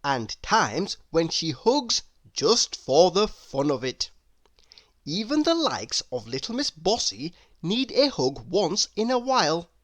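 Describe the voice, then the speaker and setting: A woman narrating a children's story in an expressive, emphatic read-aloud style. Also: lowish voice, slow, no room sound, clean studio sound. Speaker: storybook reader.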